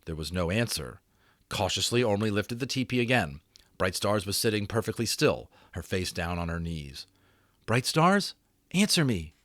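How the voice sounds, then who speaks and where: A man giving a dramatic audiobook reading as storyteller, with expressive, varied delivery. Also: low voice, moderate pace, no echo, studio quality.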